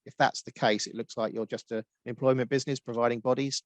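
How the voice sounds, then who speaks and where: A man with a Norwich accent, answering webinar questions as a guest expert with brisk explanations full of ums. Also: mid-range voice, fairly even intonation, no echo. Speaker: guest expert on tax rules.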